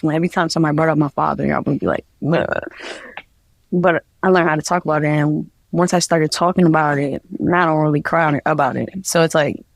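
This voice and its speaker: A woman's voice: deep voice, vocal fry, dry recording. A young woman from New Jersey, a guest artist, talking casually in an interview and rambling with many fillers. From New Jersey, USA.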